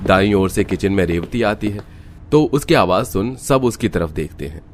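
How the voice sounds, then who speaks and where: Low-voiced man narrating a story. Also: animated, normal speed, faint music behind. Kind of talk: scripted storytelling narration for cartoon.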